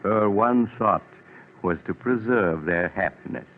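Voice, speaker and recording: Low-voiced man narrating vintage radio drama. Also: unhurried, phone-quality sound. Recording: thin, noisy 1940s radio recording.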